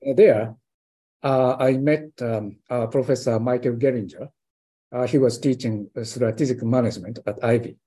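An older man with a Japanese accent answers questions slowly, stopping often to search for words. His voice is husky and dark. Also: medium pitch. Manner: hesitant, many fillers and restarts, clears throat. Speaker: guest professor. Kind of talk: interview answers.

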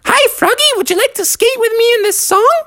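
A squeaky flirty lady fox. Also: expressive, fairly quick, sharp tone, no room sound, clean studio sound, heavily acted.

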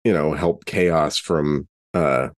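A man playing a tabletop role-playing game, talking casually in character and haltingly, repeating words as he thinks aloud. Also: rumbling low.

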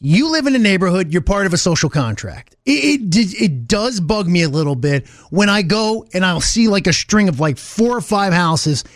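American radio guy bantering, high-ish voice that jumps around, opinionated, dry studio sound. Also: very animated, normal speed, hardly any pauses, no room sound. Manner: emphatic, opinionated, joking. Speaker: radio show co-host. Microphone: close studio microphone.

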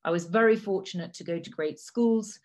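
A middle-aged woman raised in Italy, a native Italian speaker, giving a measured talk with frequent fillers. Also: medium pitch, a little mellow. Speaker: guest speaker. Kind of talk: prepared talk.

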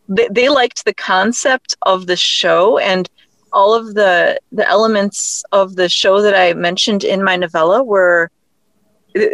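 A woman's voice: mid-range voice, fairly bright tone, no echo. A woman guest, a writer, talking in an enthusiastic, chatty way in a casual interview. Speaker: guest, writer and audio drama creator.

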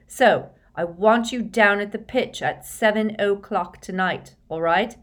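A woman voicing an excited, bossy teenage girl sports captain in a storybook reading, brisk and emphatic. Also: medium pitch, voice pushed up, lightly acted. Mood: excited, urgent.

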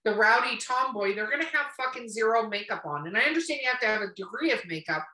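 High, bright woman's voice in a roomy space. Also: lively intonation, fast. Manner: blunt, opinionated, swears freely. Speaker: podcast host. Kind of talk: casual chat. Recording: roomy echo, distant from mic.

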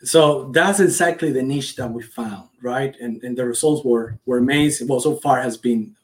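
A man's voice: medium pitch, normal speed. A man from Cuba with a Cuban accent, a native Spanish speaker, answering interview questions with earnest enthusiasm. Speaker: guest, startup founder.

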